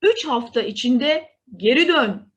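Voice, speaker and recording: A woman's voice: high voice, animated, measured pace. A woman voicing a lovelorn, sad male beast in a fairy tale, earnest and emphatic. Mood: sorrowful.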